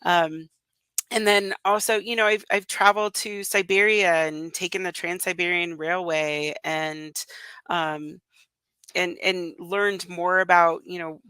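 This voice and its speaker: Bright woman, fillers and restarts. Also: on the low side, fairly even intonation, measured pace, clear, resonant tone, no echo. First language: Russian. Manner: chatty, with many fillers and restarts. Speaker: interview guest, communications business founder. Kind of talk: casual interview talk. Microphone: remote call.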